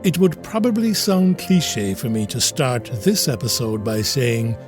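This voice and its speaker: All-knowing, slightly bumbling comedy narrator, medium pitch, background music. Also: male voice, very animated, measured pace. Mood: storytelling. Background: music and rain.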